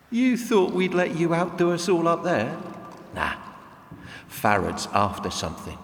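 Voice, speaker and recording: A man playing a wary, cynical scavenger with coarse, slangy, animated speech in a fantasy audio drama. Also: fairly high pitch, takes it slowly, gravelly, long pauses, full character voice. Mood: wry, grim. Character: rough, cursing male scavenger, wary and cynical. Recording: echoey cavern reverb, faint noise.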